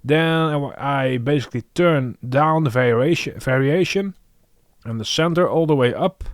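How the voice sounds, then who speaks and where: A man hosting a music production tutorial, thinking aloud in an informal, halting way. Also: medium pitch, no echo, studio quality.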